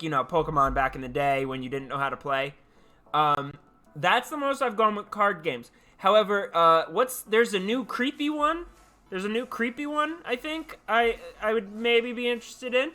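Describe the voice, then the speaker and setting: A man's voice: high-pitched, bright, forceful tone. A man chatting casually and playfully with live stream viewers, often repeating his words and phrases. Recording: music playing behind the voice. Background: background music.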